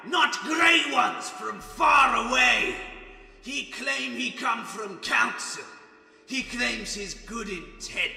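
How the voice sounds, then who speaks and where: A man voicing a paranoid, boastful ratman warlord with a raspy snarl and broken, menacing speech. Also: extremely high pitch, bright, forceful tone, heavily acted. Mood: furious, defiant, then suspicious, menacing. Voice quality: raspy, screechy. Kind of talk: dramatic fantasy character acting. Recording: roomy reverberant voice over music.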